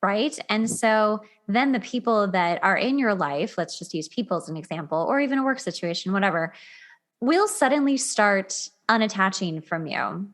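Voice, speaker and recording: A woman's voice: medium pitch, lively intonation, moderate pace, fairly bright tone. A woman hosting a podcast in a casual, conversational style, affirming and emphatic, repeating points for emphasis. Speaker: podcast host.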